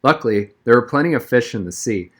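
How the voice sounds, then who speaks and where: A man narrates a children's novel in the first person. His voice sits at a medium pitch, and he reads from the text as an audiobook storyteller. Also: animated, fast talker, fairly bright tone.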